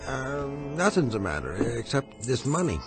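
A man's voice: mid-range voice, very animated, normal speed, close to an everyday voice. An actor playing a prim, pompous married man in a radio drama, stammering when flustered. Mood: indignant. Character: prim, self-righteous married man, easily rattled. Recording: radio play with music underneath.

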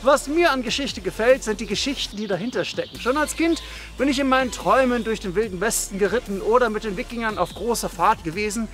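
High-voiced man from northern Germany. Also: quick pace, a bit bright. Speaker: video crew member. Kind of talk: self-introduction and short answers to camera. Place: outdoors in a park. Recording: music in the background.

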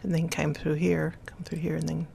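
A soft-spoken woman playing a game alongside her husband, talking quietly. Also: deep voice, flat delivery, a little fast, creaky, noisy background. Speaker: co-player. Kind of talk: casual gameplay chat.

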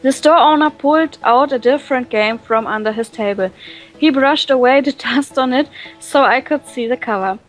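A high-voiced woman, a native German speaker, co-hosts a visual novel let's play. She reads on-screen text aloud and comments casually, often stopping to find a word. Music plays faintly behind her. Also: rough recording. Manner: halting, searching for words.

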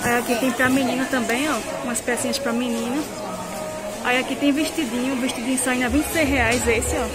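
A woman's voice: high voice, fairly even intonation, brisk, loud music behind the voice. A woman, filming a casual walk through market stalls on her phone and calling out prices to her viewers. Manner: chatty, points out prices, asks vendors questions. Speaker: vlogger touring a market. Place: busy market stalls, half outdoors. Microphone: handheld phone camera. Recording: loud crowd noise behind. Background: loud market crowd.

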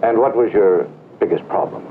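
An actor playing an older, self-assured physics professor, brisk and questioning, in a 1960s film. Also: male voice, phone-quality sound, lightly acted. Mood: brisk, probing. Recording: thin old film soundtrack, rumbling noise.

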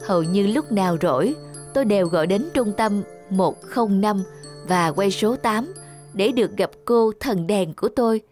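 A woman's voice: mid-range voice, lively intonation, normal speed, a little mellow, faint music behind. A woman reading scripted narration for a story.

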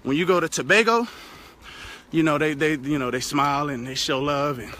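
A man's voice: on the high side, normal speed, bright, forceful tone. Young American man vlogging from a bike. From United States. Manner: loose, chatty, swears casually. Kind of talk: casual vlog while cycling. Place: outdoors on a rural road. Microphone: phone held at arm's length. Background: passing cars.

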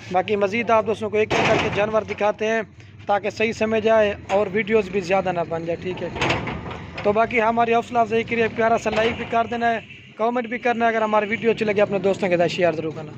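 High-voiced man selling goats outdoors. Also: noisy background. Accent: Pakistani Urdu. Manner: repetitive, salesy, keeps saying "theek hai". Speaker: goat farm seller showing animals. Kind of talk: casual sales walkthrough on camera. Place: outdoor farmyard beside a garden. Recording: slightly muffled phone audio, faint outdoor ambience.

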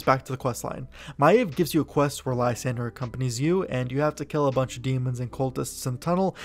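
A man narrating video game lore in a conversational, matter-of-fact way, explaining it step by step. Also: medium pitch. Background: background music.

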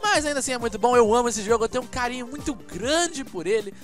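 A man's voice: extremely high pitch, lively intonation, fast talker. A young man with a Brazilian accent giving casual let's play commentary as a gaming video host. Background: game music.

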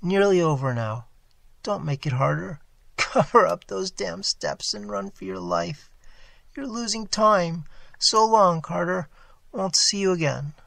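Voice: medium pitch, lively intonation, measured pace, creaky, fairly bright tone, no room sound, voice pushed up. A storyteller playing a terrified, despairing man trapped underground, pleading frantically in boyish slang. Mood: resigned, anxious.

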